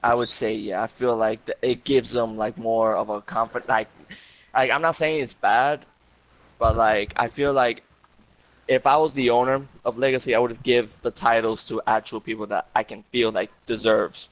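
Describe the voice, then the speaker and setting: A man's voice: mid-range voice, fairly even intonation, moderate pace, no room sound, rough recording. Blunt Puerto Rican man, dry sound. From Puerto Rico. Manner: blunt and aggrieved, swears often, leans on "literally". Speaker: podcast guest. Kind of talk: casual interview chat.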